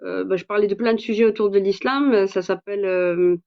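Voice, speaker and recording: A woman's voice: medium pitch, fairly even intonation, brisk, muffled recording. A French woman hosting a livestream, outspoken and hesitant with many fillers, speaking with a Parisian French accent. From France. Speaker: livestream host and author. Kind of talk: casual livestream talk.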